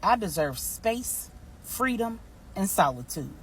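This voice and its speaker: A woman voicing a proud, independent older matriarch in a story, blunt, folksy and measured. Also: medium pitch, sing-song, slight background noise, low-quality recording. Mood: resolute.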